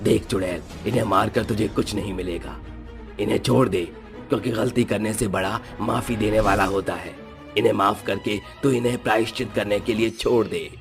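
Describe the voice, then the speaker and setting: A man voicing a stern, wise village holy man who commands and admonishes in an animated moral story. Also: fairly low pitch, normal speed, gravelly, pauses often, soft background music. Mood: stern, admonishing.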